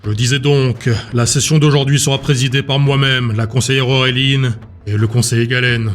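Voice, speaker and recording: A man plays a stern male council president in an audio drama. The voice is strong and booming, formal and impatient, used to commanding a room. Music plays faintly underneath. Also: flat delivery, moderate pace, close to an everyday voice. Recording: music bed behind the voice.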